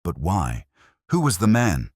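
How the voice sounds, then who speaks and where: A man voicing a proper, hesitant Victorian widow in a hushed, deliberate, formal manner for a storybook. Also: deep voice, huge pitch swings, husky, dry recording, clean studio sound, pitched above the performer's own voice, heavily acted. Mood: uneasy, faltering.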